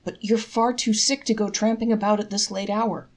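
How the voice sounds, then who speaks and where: A woman's voice: mid-range voice, steady tone, normal speed, a little mellow, pitched above the performer's own voice, close to an everyday voice. Woman reading as a frank, concerned wagon driver. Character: plain-spoken, concerned male wagon driver. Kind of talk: storybook character voice in a read-aloud folktale.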